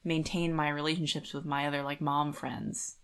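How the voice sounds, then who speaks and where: A woman's voice: low-pitched, steady tone, moderate pace, creaky, fairly bright tone, smooth. A woman co-hosting a podcast, chatting in a very informal, conversational way. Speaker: podcast co-host. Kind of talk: casual chat.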